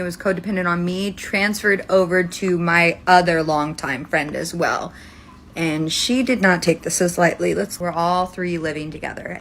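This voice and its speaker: A woman's voice: medium pitch, a bit bright. Dramatic young American woman, noisy background. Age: 20s. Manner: dramatic, emphatic, peppered with "like" and swearing. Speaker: storytime video creator. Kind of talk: casual first-person storytelling.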